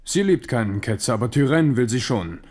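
An actor playing a weary, shrewd king in a German radio play, speaking with measured, deliberate irony. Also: medium pitch, animated, a little mellow, close to an everyday voice. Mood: firm, reproachful. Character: weary, shrewd French king Henri, conciliatory but firm.

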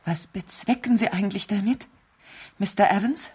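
Haughty, agitated rich wife, husky. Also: female voice, medium pitch, normal speed, darkish tone. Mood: indignant, disbelieving. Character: imperious, indignant, highly strung rich married woman. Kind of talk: 1970s German radio play acting.

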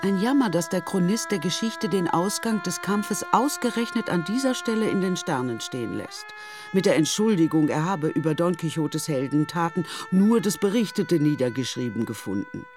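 A man's voice: on the high side, lively intonation, moderate pace, music in the background. A man reading scripted literary narration for a radio drama.

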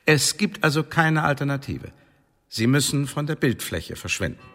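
An actor playing a stern Elizabethan tribunal official in a German radio drama, formal and officious with a needling edge. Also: male voice, mid-range voice, very animated, normal speed, fairly bright tone, soft background music. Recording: long reverberant hall.

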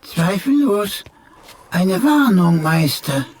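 A woman's voice: mid-range voice, big pitch swings, takes it slowly, darkish tone, husky, exaggerated voice. A woman playing a cheeky, melodramatic pocket computer that is failing, stammering and repeating words in a German radio play. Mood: wry warning.

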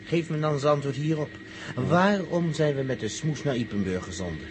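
A man's voice: a little high, expressive, moderate pace, natural voice. A man playing an anxious, suspicious traveller who grumbles and repeats himself, in 1960s radio drama style. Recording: noisy old radio drama tape.

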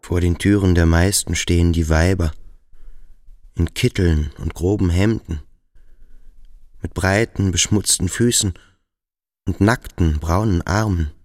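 An actor playing a melancholy, yearning schoolboy thinking aloud in in a radio drama, introspective and literary. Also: male voice, sits low, unhurried, long pauses, darkish tone, dry recording, studio quality. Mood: brooding, fascinated. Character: melancholy, yearning schoolboy lost in his thoughts. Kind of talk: radio drama acting, interior monologue.

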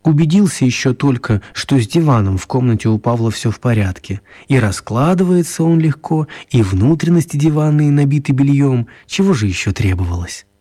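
A man narrating a children's radio story in a wry, confiding way, with expressive, deliberate phrasing and dramatic pauses. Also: medium pitch, close to an everyday voice. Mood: wry storytelling.

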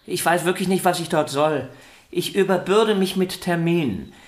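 A woman's voice: fairly low pitch, normal speed, lightly acted. A woman playing a vain young violin prodigy. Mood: insistent, vain. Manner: arrogant, insistent, theatrical. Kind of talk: radio drama acting. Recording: roomy studio echo.

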